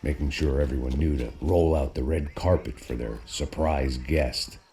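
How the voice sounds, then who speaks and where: An actor playing a world-weary, cynical middle-aged American ex-cop who narrates a crime game in a deadpan, sardonic voice. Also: male voice, a bass voice, normal speed, noisy background. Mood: wry, brooding. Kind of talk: noir voice-over narration.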